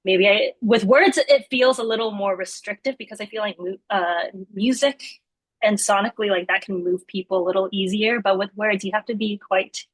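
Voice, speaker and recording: A woman's voice: mid-range voice, normal speed, no echo, poor audio. Thoughtful woman guest, dry sound. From Singapore. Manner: thoughtful, laughs often. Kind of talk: casual interview conversation.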